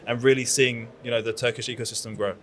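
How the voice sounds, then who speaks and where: A man in his thirties with a London-bred British accent, speaking with eager, chatty energy, full of fillers and restarts. He talks into a handheld mic at a conference booth. His voice sits at an ordinary middle pitch. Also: flat delivery, quick pace. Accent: British English. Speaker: conference founder and PR agency owner. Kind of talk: conference interview. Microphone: handheld microphone.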